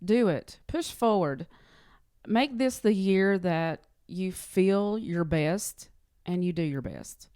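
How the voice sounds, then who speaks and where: A woman's voice: on the low side, animated, slow, dark tone, clear, resonant tone, no room sound, clean studio sound. A woman chatting casually as an interview guest, giving encouraging advice in earnest, emphatic short statements. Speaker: interview guest, clinic front-office worker.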